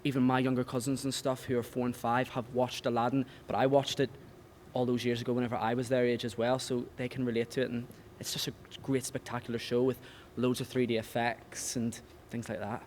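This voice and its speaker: A man's voice: medium pitch, level tone, brisk, darkish tone. A young man, an actor giving a sincere interview. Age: young adult. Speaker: pantomime actor. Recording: faint background ambient noise.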